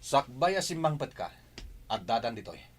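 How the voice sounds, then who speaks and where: A man's voice: mid-range voice, big pitch swings, moderate pace. An actor playing a stern, righteous man confronting those who betrayed him, with an Ilocano accent, in a radio drama. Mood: challenging, resolute. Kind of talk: Filipino radio drama acting.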